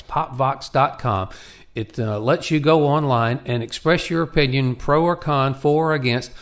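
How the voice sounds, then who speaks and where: A man with a medium-pitched voice acts as radio co-host. He talks in a casual, promotional way, plain-spoken and conversational, with folksy phrasing.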